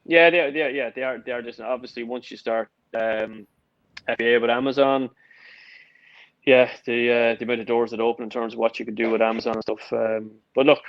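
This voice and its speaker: A man's voice: mid-range voice, steady tone, a little fast, dry recording. A man in his thirties with an Irish accent, talking casually over a video call as an interview guest, with lots of "look" and "yeah" fillers and restarts. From Ireland. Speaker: guest, golf company founder. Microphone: video call, built-in mic. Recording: dull lo-fi call audio.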